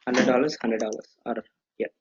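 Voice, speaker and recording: A man running a software training session, explaining steps and checking in with frequent "okay"s and restarts. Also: medium pitch, steady tone, a little fast, dull audio. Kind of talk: live online training session.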